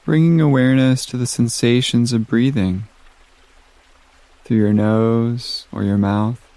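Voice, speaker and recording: A man leading a guided meditation in a gentle, soothing manner, giving soft instructions with long pauses. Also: mid-range voice, steady tone, dry recording.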